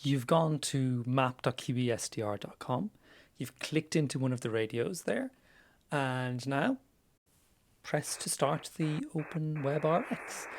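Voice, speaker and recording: A man's voice: mid-range voice, animated, unhurried. A man presenting a casual, conversational screen-share tutorial in an informal way, with small hesitant asides. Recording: clear background noise.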